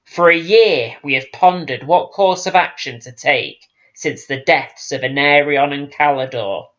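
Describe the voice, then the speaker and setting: A defiant young-blooded elf prince, acted out by a male narrator in a fantasy audiobook. He speaks firmly and deliberately, like an orator. The sound is a little muffled. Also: on the high side, fairly bright tone. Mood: defiant, resolute. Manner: firm, oratorical.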